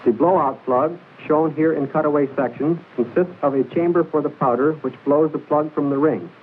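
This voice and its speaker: A man narrates an old military training film in a formal, measured, precise instructional style, reading from a script. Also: a little high, phone-quality sound. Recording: hissy band-limited 1940s film soundtrack.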